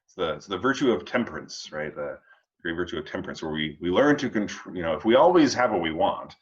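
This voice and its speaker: Low-voiced man in halting, reflective chat. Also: lively intonation, dull audio. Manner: halting and rambling, checking in with "you know" and "huh". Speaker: host of a family read-along discussion. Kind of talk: casual reflective chat on readings.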